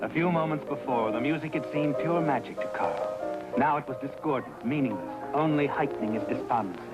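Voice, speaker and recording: Ominous omniscient crime narrator, male actor, American, mid-pitched, taunting and dramatic, vintage soundtrack with heavy music. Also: a little fast, natural voice, poor audio. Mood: ominous, brooding. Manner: taunting, knowing, dramatic second-person address. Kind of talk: 1950s crime anthology narration. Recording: old 1950s soundtrack under loud music.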